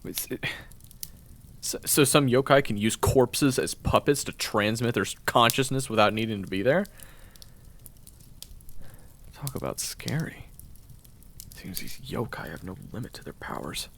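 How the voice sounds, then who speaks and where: A man's voice: mid-range voice, lively intonation, measured pace, long pauses, a bit bright, pitched above the performer's own voice. A man voicing a married swordsman from northwest Europe, flustered and wary, stammering through nervous restarts, with music playing behind. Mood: uneasy. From Orleans, northwest Europe. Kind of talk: audio roleplay acting.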